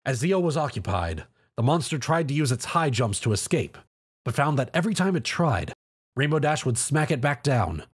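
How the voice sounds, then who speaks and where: Guy narrating an action story, lively pitch, slightly husky voice. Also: moderate pace.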